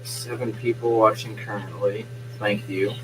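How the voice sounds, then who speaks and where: Young man, hoarse, talking softly, lowish voice, faint hum behind. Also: flat delivery, measured pace. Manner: quiet, subdued talk. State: losing his voice. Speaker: live streamer doing play-by-play. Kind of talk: casual live-stream sports commentary. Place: at home at night.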